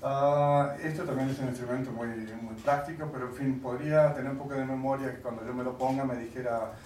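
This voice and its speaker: A man giving a lecture, wry and conversational, pausing often to search for words. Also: mid-range voice, steady tone, a little mellow, slight background noise. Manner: halting, searching for words with many fillers. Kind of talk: lecture to an audience. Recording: echoey hall, mic at a distance.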